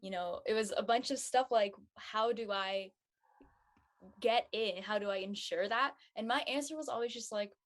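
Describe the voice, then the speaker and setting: A woman's voice: a little high, moderate pace. A young woman talking casually as a panelist. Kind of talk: casual chat.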